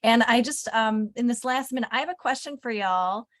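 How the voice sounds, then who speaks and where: A woman's voice: a little high, fairly quick, a bit bright. A woman moderating an online panel in a casual, effusive way, heaping praise on speakers and audience. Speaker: event moderator.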